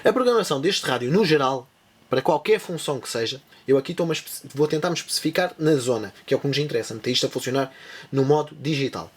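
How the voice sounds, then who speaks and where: A man's voice: medium pitch, expressive, fast, husky. A man with a European Portuguese accent giving a casual spoken tutorial, rambling, with many restarts and asides. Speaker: tech channel presenter.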